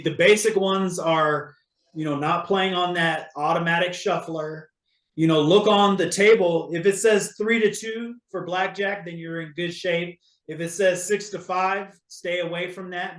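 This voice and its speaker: A man's voice: fairly high pitch, roomy sound. A man from Hawaii, a real estate agent, talking casually as an interview guest, with many fillers and restarts.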